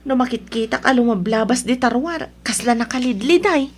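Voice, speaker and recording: Gossipy Ilocano housewife played by an actress, high but husky voice, sympathetic busybody in audio drama. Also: female voice, normal speed. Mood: curious, pitying. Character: nosy, sympathetic wife eavesdropping on neighbours. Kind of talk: Ilocano radio drama acting.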